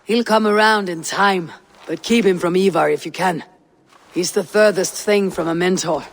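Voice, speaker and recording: A woman's voice: lowish voice, moderate pace, vocal fry. A resolute Viking warrior woman of a video game. She talks in short, commanding phrases and wastes no words, blunt and fearless. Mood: frank. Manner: curt, commanding. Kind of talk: video game cutscene acting.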